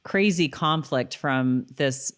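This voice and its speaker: A woman's voice: very deep voice, slow. A woman co-hosting a podcast in casual, conversational chat, recapping TV episodes. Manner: informal, conversational. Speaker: podcast co-host.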